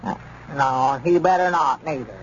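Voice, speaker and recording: An actor playing a fretful, quarrelsome old country storekeeper in a 1930s radio comedy, in a whiny drawl. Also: male voice, mid-range voice, moderate pace, natural voice. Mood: blustering. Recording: muffled 1930s radio recording with faint hiss.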